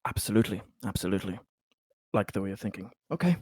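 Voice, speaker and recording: A man playing a tabletop roleplaying game in character, speaking deliberately and haltingly, often repeating his words. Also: lowish voice, animated, a little mellow, slightly rough, no room sound, clean studio sound.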